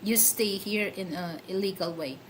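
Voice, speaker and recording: A woman's voice: mid-range voice, normal speed, slight background noise. A woman with a Filipino accent hosting a casual livestream, chatty and full of fillers and giggles. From Philippines. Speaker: livestream host.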